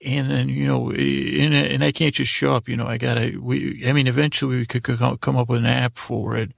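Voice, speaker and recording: A man with an extremely creaky, husky voice of middling pitch, recorded close and dry. He delivers an improvised bedtime monologue as a podcast host. His delivery meanders and stammers, circling back on half-finished phrases. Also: flat delivery, no echo. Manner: halting, meandering, stammers over words.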